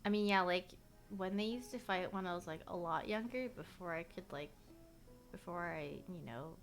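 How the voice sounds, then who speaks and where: A woman's voice: fairly low pitch, moderate pace, soft background music. A young woman whose first language is Korean, livestreaming casual chat with viewers. She rambles, fills her talk with "like," and laughs often.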